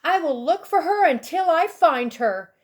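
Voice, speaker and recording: Woman voicing an excitable, high-pitched little husband bird. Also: measured pace. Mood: determined. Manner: earnest, exclamatory, emotional. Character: cheerful, excitable, devoted male bird husband. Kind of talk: children's storybook character voice.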